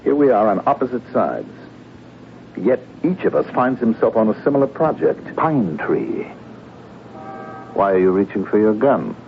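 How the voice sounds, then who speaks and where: An actor playing a calm foreign secret agent who speaks formally and measuredly, uneasy underneath, in a 1970s radio drama. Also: male voice, lowish voice. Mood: cryptic, measured. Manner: measured, enigmatic, formal phrasing. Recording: muffled phone-like old radio recording, hiss.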